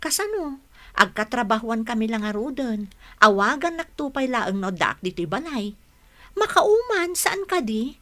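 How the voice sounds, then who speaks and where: A woman's voice: fairly high pitch, sing-song, unhurried, a bit bright, no echo. An actress playing a bored, blunt young woman in a radio comedy drama, whiny and exasperated, with an Ilocano Filipino accent. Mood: sulky, exasperated. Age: young adult. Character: bored young woman, blunt, sulky with her mother and boyfriend.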